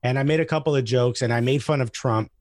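A man chatting casually as a comedian guest, telling anecdotes in an animated way and acting out the dialogue. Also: mid-range voice, fast talker, dry recording, clean studio sound. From Newark, New Jersey, USA.

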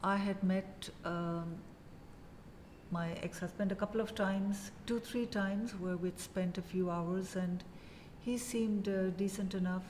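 A woman in her fifties from India with an Indian English accent, telling her story as a guest in a casual interview, measured and full of pauses. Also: mid-range voice, steady tone, darkish tone, long reverb tail, slight background noise. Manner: measured, many pauses and "uh" fillers.

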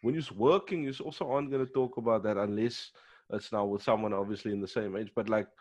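Low-voiced laid-back man. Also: fast talker, darkish tone. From South Africa. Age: young adult. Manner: laid-back, slangy, repeats words. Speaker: podcast co-host. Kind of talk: casual chat.

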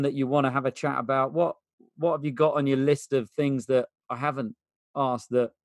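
A podcast host poses long, winding questions in a casual chat, padding them with fillers. His voice is mid-pitched and the sound is dry and close. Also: no echo.

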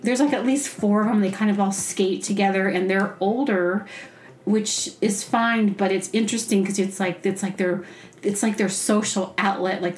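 A woman's voice: medium pitch, a little mellow. A woman with a mid-range voice tells loose, comic stories in a casual podcast style. She rambles, pokes fun at herself and acts out her own reactions and asides. The sound is roomy, with audible echo. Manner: rambling, self-deprecating humor. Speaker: podcast host. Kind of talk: casual comedic storytelling.

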